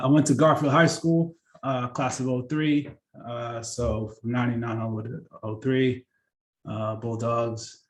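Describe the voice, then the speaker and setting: Chatty man giving a casual career talk. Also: mid-range voice. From born in Kenya, grew up in Seattle. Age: 30s. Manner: relaxed and chatty, many fillers and restarts. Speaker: workshop presenter.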